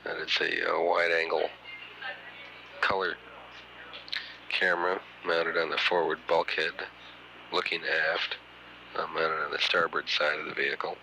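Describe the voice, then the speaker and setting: A man giving mission status commentary for mission control, halting with many fillers, on a muffled archival broadcast recording. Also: extremely low pitch, level tone, creaky, pushed and bright, slight background noise. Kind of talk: mission commentary over radio.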